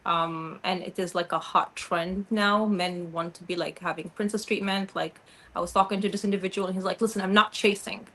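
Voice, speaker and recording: A woman's voice: lowish voice, steady tone, brisk, a bit bright. A woman giving frank opinions as a panel guest over a video call, using many fillers. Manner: frank, filler-heavy, lots of "like". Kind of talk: casual opinionated chat.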